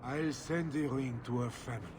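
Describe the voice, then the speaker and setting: An actor playing a somber, embittered husband in a video game, speaking in a subdued, halting way. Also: male voice, medium pitch, background noise, lightly acted. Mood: resigned. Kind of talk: video game dialogue acting. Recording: loud music behind the voice.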